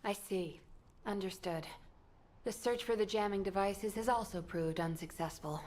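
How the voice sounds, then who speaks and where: An actress playing a composed female squad leader who gives firm, measured commands. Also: mid-range voice, a little mellow, natural voice. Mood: commanding.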